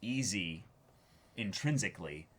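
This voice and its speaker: A man co-hosting a reaction show, talking casually in an earnest, reflective way with halting, repeated words. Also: low-pitched, lively intonation. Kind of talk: casual discussion of an anime episode.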